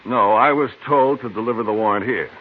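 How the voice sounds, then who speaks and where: An actor playing a smug, officious American cattlemen's agent in an old radio western, insistent and self-righteous. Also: male voice, fairly low pitch, fairly quick, natural voice. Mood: insistent, testy. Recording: narrow, muffled, hissy old radio recording.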